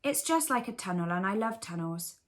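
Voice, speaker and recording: A woman voicing a brave, eager and excitable toy rabbit with a squeaky voice in a bedtime storybook. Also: moderate pace, close to an everyday voice.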